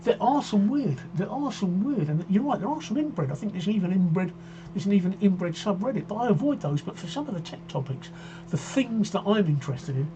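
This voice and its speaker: A man's voice: high voice, expressive, brisk, dark tone, a little hiss or hum, poor audio. A man in his sixties hosting a casual live stream, chatting in a rambling way and often repeating himself while he reads out viewer comments. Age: 60s. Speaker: livestream host. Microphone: desk microphone.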